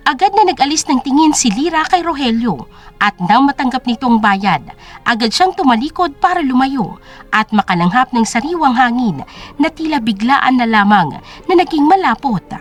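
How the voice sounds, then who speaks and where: A woman's voice: sits high, lively intonation, moderate pace, soft background music. A woman reading scripted dramatic narration as the storyteller of an audio drama.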